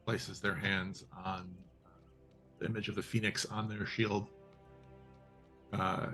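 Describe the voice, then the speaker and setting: A man playing a tabletop game, who describes his character's actions and explains the rules haltingly, with many fillers. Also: fairly low pitch, level tone, pushed and bright, faint music behind. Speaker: player.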